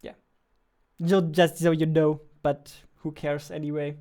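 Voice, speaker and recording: A man's voice: a little high, flat delivery, measured pace, dark tone. A man in his twenties streaming as a game developer, chatting informally and playfully into a close studio mic. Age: 20s. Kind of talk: casual livestream chat. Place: small indoor room with dark backdrop. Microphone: close studio mic on boom arm.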